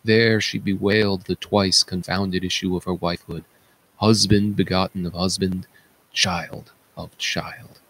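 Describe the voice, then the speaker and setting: Man acting a grave messenger with gravelly, husky voice. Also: low voice, flat delivery, a bit bright, heavily acted, poor audio. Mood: grim, urgent. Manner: solemn, declamatory, with dramatic pauses. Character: grave male palace attendant, solemn eyewitness recounting horrors. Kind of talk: dramatic reading of Greek tragedy.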